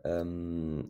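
A man's voice: low-pitched, mellow, clear, resonant tone. A man in his thirties from Italy with an Italian accent, speaking as a podcast guest in a hesitant way, with many fillers and restarts. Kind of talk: casual interview talk.

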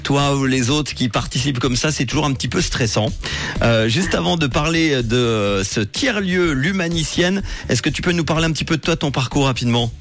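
A man's voice: medium pitch, lively intonation, brisk, fairly bright tone. Joking guy hosting a radio show, faint music underneath. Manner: playful, teasing. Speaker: radio host.

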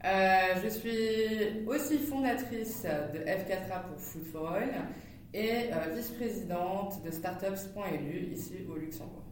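Guest voice: woman in her thirties, animated and fast in bursts, recorded far off the mic in a very echoey room with a low rumble. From Netherlands. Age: 30s. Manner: animated, laughs often, talks fast in bursts. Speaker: startup founder, podcast guest. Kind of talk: casual interview storytelling.

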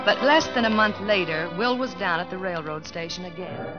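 A woman's voice: fairly low pitch, lively intonation, moderate pace, over loud music, close to an everyday voice. An actress playing a sensible, spirited young small-town American woman, acting and narrating in a 1950s radio drama. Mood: wistful, narrating. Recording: dull old radio broadcast.

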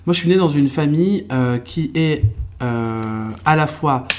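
A young man from France, a podcast guest, telling his life story in a relaxed, reflective way with lots of fillers and restarts. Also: a little high, measured pace, noisy background. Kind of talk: casual conversational storytelling.

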